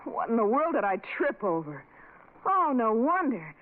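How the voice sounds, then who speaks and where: Brash wisecracking girl, animated intonation, breezy slang, radio drama, narrowband vintage sound. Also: a little high, moderate pace. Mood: breezy, joking. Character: brash, wisecracking, energetic young woman. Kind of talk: 1970s radio horror drama acting.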